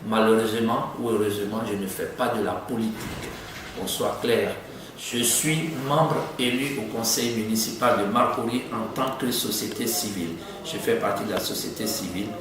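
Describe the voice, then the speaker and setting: A man's voice: lowish voice, normal speed. A man answers interview questions conversationally, repeating phrases for emphasis. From Côte d'Ivoire (Abidjan), of Lebanese descent. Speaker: doctor and city councillor being interviewed. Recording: echoey room, distant mic, faint noise.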